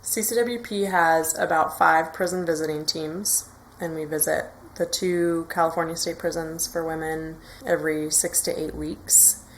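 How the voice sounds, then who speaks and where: A woman's voice: deep voice, steady tone, normal speed, a little hiss or hum, low-quality recording. A woman interview guest who volunteers as an organizer, explaining things step by step in an informal way.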